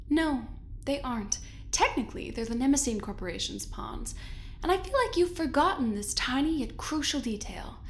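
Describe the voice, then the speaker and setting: A woman playing a condescending, controlling corporate superior in an audio drama, speaking deliberately with drawn-out emphasis. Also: high-pitched, expressive. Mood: smug, cutting. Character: smug, controlling corporate woman taunting a subordinate.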